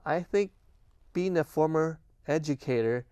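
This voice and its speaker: An older man, a retired teacher whose first language is Cantonese, answering interview questions in an earnest, unhurried way. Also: fairly high pitch, dark tone, clean tone, dry recording. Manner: earnest, unhurried, a few false starts. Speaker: festival volunteer guest.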